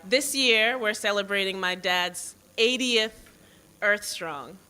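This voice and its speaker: A woman in her forties with an American accent giving a public speech, pausing now and then to rephrase. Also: medium pitch, bright, forceful tone, smooth. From Boston, United States, born in Jamaica. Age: 40s. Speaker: foundation president, guest speaker. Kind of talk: public speech, partly off the cuff.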